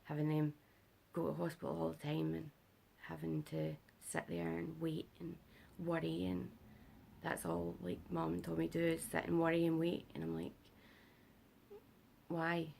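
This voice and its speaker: A young British woman talks to camera in a low, deep register, her voice heavily gravelly and rough from illness. She rambles through a casual update full of fillers, sounding worn out and short on sleep. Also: monotone, a little slow, a little mellow. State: unwell and sleep-deprived. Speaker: vlogger. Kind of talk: casual health update vlog.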